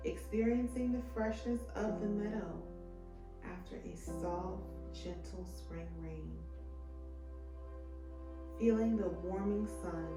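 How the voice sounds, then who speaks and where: A woman narrating a guided meditation in a soothing, deliberate way, with phrases that trail off softly at the end. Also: fairly low pitch. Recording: roomy echo, music behind the voice. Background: meditation music.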